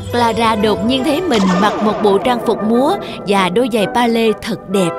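A woman narrates a children's fairy tale from a script. Her voice sits fairly high, and music plays clearly behind her. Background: clear background music.

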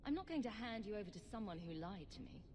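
An actress playing a tough, self-assured champion in a video game, speaking in a dry, direct and wry way. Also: female voice, mid-range voice, sing-song, fairly quick, noisy background, lightly acted. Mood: firm, confident. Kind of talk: video game character acting.

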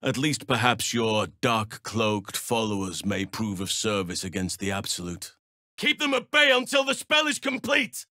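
A man voicing a noble, gentle-mannered archdruid in a fantasy video game, speaking in a measured, earnest, formal way. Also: lowish voice, big pitch swings, dry recording, studio quality, full character voice. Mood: urgent battle cries. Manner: measured, earnest, formal, old-fashioned phrasing.

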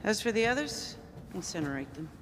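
An actress playing a ruthless, power-hungry villain boss in a video game, with a theatrical, imperious and gloating delivery. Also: female voice, medium pitch, big pitch swings, fairly quick, in a large hall, crowd noise, lightly acted. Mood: cold, dismissive. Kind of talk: video game cutscene acting.

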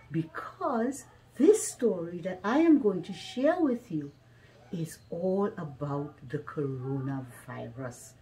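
A middle-aged woman reading a children's story aloud in a deliberate, expressive way, slightly muffled by a face mask. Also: lowish voice. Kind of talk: children's picture book read-aloud. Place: small living room at home, indoors.

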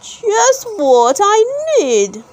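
Woman reading as a slow, kindly old toy maker. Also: high-pitched, very animated, full character voice. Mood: pleased.

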